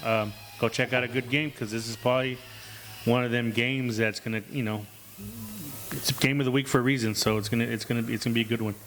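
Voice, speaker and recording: A man co-hosting a casual sports chat in an easygoing, steady way. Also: medium pitch, quick pace, a little hiss or hum. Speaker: co-host.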